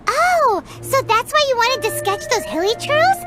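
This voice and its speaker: A woman voicing a tiny, childlike fairy girl companion in a video game, squeaky, excitable and nosy, talking casually. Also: unhurried, exaggerated voice. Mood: inquisitive. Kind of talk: scripted video game dialogue. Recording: loud game music behind the voice. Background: game background music.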